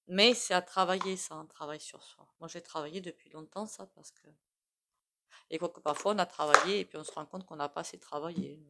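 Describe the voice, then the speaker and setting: A woman giving a casual, rambling tarot reading, full of fillers and frequent throat clearing. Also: on the low side, brisk, fairly bright tone, slight background noise. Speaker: tarot reader.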